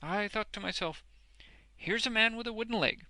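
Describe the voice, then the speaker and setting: A man's voice: high-pitched, normal speed, bright, forceful tone, no room sound, voice pushed up, exaggerated voice. A man voicing a hearty, uneducated old working man in a storybook, blunt and exuberant, with emphatic, ungrammatical speech. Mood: admiring.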